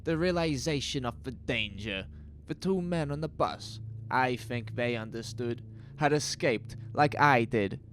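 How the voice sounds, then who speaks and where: A man's voice: medium pitch, measured pace, a bit bright, a little hiss or hum, pitched above the performer's own voice, lightly acted. A man playing a frightened, agitated survivor in a horror audio drama, speaking with urgent emphasis. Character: frightened, agitated man who escaped a takeover. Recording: music behind the voice.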